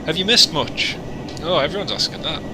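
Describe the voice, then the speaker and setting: A man's voice: fairly high pitch, expressive, a little fast. A man chatting casually on a flight sim livestream, joking and rambling between asides. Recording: noisy, with engine hum.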